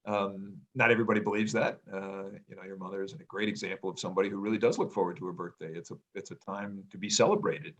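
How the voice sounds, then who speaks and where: A middle-aged man with a very deep, low voice talks through his thoughts in a relaxed family conversation. He is loud and reflective, and he often stops to restart a sentence or fill a gap with "um.". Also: fast, few pauses, rough recording. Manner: hesitant, many ums and restarts, reflective; says he has a loud voice. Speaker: podcast co-host, parent.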